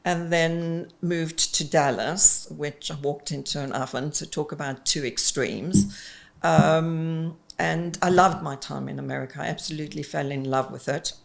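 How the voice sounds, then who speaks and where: A South African woman in her fifties talks with a gravelly edge in her voice. She chats expressively and freely as an executive coach, with plenty of ums between thoughts. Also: sits low, unhurried. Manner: chatty and expressive, with frequent ums. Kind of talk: casual interview chat.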